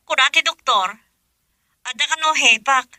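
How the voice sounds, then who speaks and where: A woman playing a sick, bright-voiced young wife. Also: high voice, lively intonation, moderate pace, no echo, clean studio sound, exaggerated voice. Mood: subdued. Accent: Ilocano. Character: ill young wife hiding from husband. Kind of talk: Ilocano radio drama acting.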